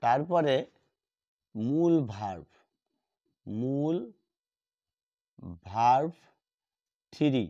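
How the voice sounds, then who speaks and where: A man teaching a grammar lesson, repeating key words slowly as he writes them out. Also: medium pitch, expressive, warm tone. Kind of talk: classroom lesson at a board. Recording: dull lo-fi sound.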